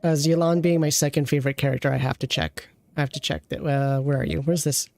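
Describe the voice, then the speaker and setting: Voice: mid-range voice, fairly even intonation, quick pace, darkish tone, no room sound, studio quality. A game streamer reviewing an account with casual commentary, thinking aloud and often trailing off mid-thought.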